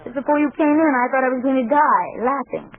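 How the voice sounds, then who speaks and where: An actress playing a plucky, sarcastic and excitable young woman in a 1940s radio comedy. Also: high-pitched, fast talker, close to an everyday voice. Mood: wry, sarcastic. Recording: old 1940s radio recording, phone-like.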